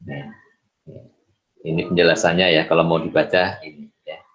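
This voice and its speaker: A man explaining worked examples as an accounting lecturer, halting and repeating words, often adding little check-in tags. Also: deep voice, level tone. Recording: dull lo-fi voice recording.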